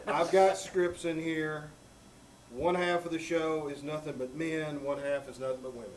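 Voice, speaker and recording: A man's voice: on the high side, little pitch movement, normal speed, slight background noise, low-quality recording. A man leading a troupe's live stage banter, exasperated and stammering through repeated words. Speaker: troupe leader emceeing a live show. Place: theater stage before a live audience. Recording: live stage recording with loud audience. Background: audience laughter.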